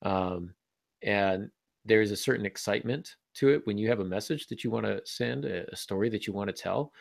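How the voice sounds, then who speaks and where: A man's voice: on the low side, moderate pace, vocal fry, no echo. A man hosting a casual podcast discussion as a writer, talking in a wry, joking way. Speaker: podcast host and author.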